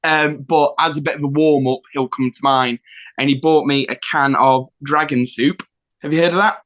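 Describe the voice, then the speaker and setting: A man's voice: a little high, fairly even intonation, normal speed, fairly bright tone, highs cut off. A young man from Nottingham, chatting as a musician guest in a self-deprecating way with lots of fillers. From Nottingham, England. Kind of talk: casual interview chat.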